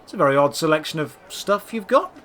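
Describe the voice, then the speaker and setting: Man acting earnest, curious adventurer, lively. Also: fairly high pitch, quick pace. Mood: friendly. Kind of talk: audio drama dialogue.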